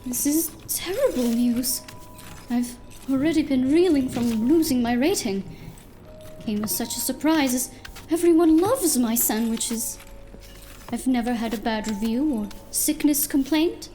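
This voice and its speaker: Woman acting high, soft, genteel diner owner. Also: unhurried, faint music behind. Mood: wounded, straining. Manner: genteel, hesitant, innocent-sounding. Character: sweet, nervous female diner owner hiding secrets. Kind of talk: noir audio drama acting.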